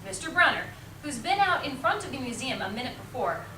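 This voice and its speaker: A woman's voice: sits high, very animated, brisk. A woman reading scripted story narration for amateur reader's theater. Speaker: teacher, narrator. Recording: echoey room, distant mic, clear noise.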